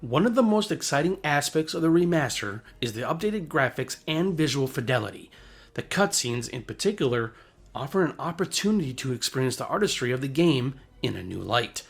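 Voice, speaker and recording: A man's voice: medium pitch, big pitch swings, a little fast, husky. A man reading scripted video essay narration in a polished, formal, explanatory way.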